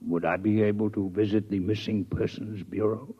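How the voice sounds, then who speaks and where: An actor playing a formal, detached six-legged alien scientist in a 1950s radio drama. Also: male voice, lowish voice, steady tone, moderate pace, slightly rough. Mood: probing. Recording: muffled old radio broadcast.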